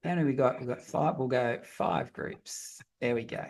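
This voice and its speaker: A man's voice: medium pitch, moderate pace. A man facilitating an online group session, informally giving instructions in a friendly, direct way and repeating himself as he thinks. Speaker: meet-up facilitator. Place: online video meeting.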